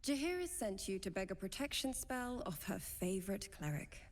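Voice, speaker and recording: An actress playing a devout, spirited young cleric in a video game cutscene, speaking in an earnest, articulate and gently formal way. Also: female voice, mid-range voice, big pitch swings, normal speed, slight background noise, lightly acted. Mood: warm, hopeful. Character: devout, eloquent young female cleric, gracious but spirited.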